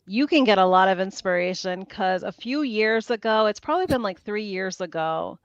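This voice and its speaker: A woman guest in a casual chat, telling a story in an animated way and quoting her own thoughts. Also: medium pitch, moderate pace, clear, resonant tone, no echo, muffled recording.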